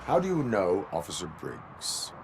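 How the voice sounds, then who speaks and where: A stoic cyborg policeman for a video game. His low voice is deadpan and clipped, with stiff formal phrasing and absolute authority. Noticeable ambient noise sits behind him. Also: measured pace, heavily acted. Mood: stern, questioning. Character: stoic male cyborg police officer, rigidly lawful. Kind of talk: video game cutscene acting.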